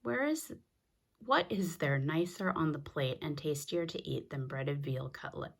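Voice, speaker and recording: A woman's voice: low-pitched, expressive, measured pace, gravelly, full character voice. A woman voicing a genial, food-loving father in a children's storybook. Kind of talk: children's storybook read-aloud.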